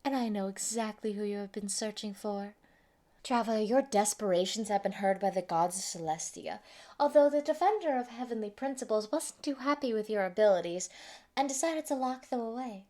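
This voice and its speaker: A woman's voice: medium pitch, fairly quick, darkish tone, natural voice. A woman voicing a sadistic, gleeful female mad scientist who taunts and gloats over a captive in a theatrical roleplay monologue. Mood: smug lecturing. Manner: taunting, gloating, giggly, swinging into whispers. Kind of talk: theatrical villain monologue, fan roleplay audio.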